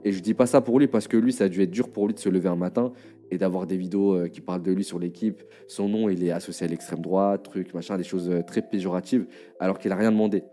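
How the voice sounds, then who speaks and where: A tired man explaining himself hesitantly, with many pauses and restarts. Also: low voice, steady tone, warm tone, soft background music. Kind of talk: casual explanation.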